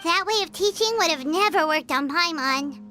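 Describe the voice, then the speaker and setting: A tiny, naive fairy companion in a video game, extremely high and squeaky. The delivery is bubbly and childlike, swinging widely in pitch. Music plays clearly behind the voice. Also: female voice, expressive, moderate pace, sharp tone, heavily acted. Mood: dismayed. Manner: exclamatory, refers to self in third person. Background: background music.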